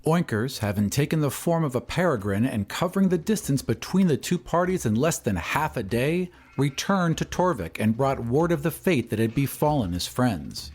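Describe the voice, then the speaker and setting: A man's voice: mid-range voice, sing-song, moderate pace, few pauses, a little mellow, slightly rough. A man narrating a fantasy recap in a scripted, dramatic storytelling style.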